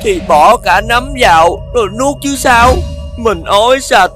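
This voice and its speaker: Small ghost, tearful, complaining, sulky, slow-paced, children's animation, music behind. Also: mid-range voice, animated, fairly bright tone. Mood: whiny, sulky. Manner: whiny, sneezing complainer. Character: tearful sick little ghost who hates medicine. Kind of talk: children's animated cartoon voice acting. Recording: music under the voice.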